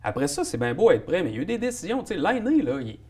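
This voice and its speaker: A man's voice: sits high, huge pitch swings, racing, warm tone, breathy edge. A man with a Québécois French accent co-hosting a casual hockey talk segment, blunt and jokey with strong opinions. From Quebec. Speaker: sports talk co-host.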